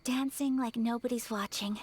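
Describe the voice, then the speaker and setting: A woman voicing a gentle, demure young noblewoman in an anime game, with a soft, breathy delivery. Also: on the high side, fairly even intonation. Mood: tender, wistful. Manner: gentle, measured, graceful.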